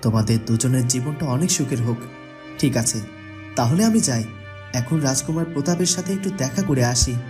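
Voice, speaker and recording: Man acting mellow-voiced, earnest young prince. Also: mid-range voice, brisk, faint music behind, lightly acted. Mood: kindly, pleased. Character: kindly, astonished young fairy-tale prince. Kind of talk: Bengali cartoon voice acting.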